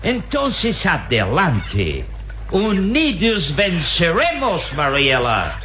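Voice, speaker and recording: Villain captain, greedy, mocking, slow, lively pitch, hissy old radio recording. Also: male voice, exaggerated voice. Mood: scheming. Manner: sneering, laughs at others. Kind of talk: 1960s Mexican radio drama acting.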